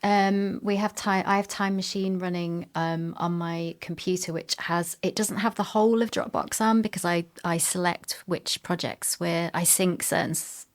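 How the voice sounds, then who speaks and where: A woman's voice: fairly low pitch, fairly even intonation, normal speed, hardly any pauses. A middle-aged woman chatting casually as an interview guest about her work in sound production.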